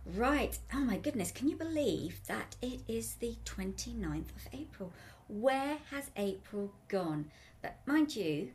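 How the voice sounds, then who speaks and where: Woman, radio host, croaky voice, upbeat, chatty, emphatic. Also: on the high side, animated, unhurried, darkish tone, a little hiss or hum. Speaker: radio show host and life coach. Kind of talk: radio talk show monologue.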